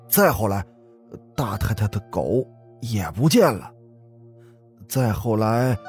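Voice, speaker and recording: A man's voice: on the low side, darkish tone, slightly rough, full character voice. A man voicing a nervous, deferential steward of a haunted household, hesitant and stammering.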